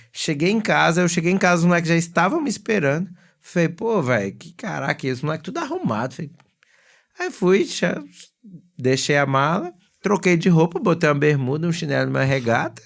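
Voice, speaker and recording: A Brazilian man hosting a podcast, telling band stories in an animated, slangy way. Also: on the high side, vocal fry. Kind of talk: casual chat.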